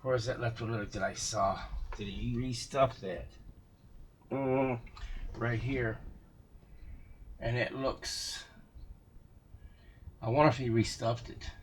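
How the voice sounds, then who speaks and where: A man giving casual, unscripted commentary as he works on a vintage radio, musing aloud in a halting way to himself and his viewers. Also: mid-range voice, steady tone, slight background noise. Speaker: vintage radio restorer showing a repair.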